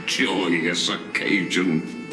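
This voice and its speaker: An actor playing a courtly, sly older official in a video game, with formal, faintly mocking, genteel delivery. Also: male voice, low voice, flat delivery, drawn-out words. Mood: ceremonious, amused. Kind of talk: theatrical video game dialogue acting. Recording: music behind the voice.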